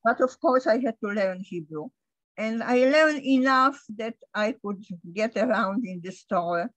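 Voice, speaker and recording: Older Polish-accented woman, halting. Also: fairly high pitch, expressive, fairly bright tone, no echo, poor audio. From Poland. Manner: halting, restarts often, light laughs. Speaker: interviewee. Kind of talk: recalling her past in conversation.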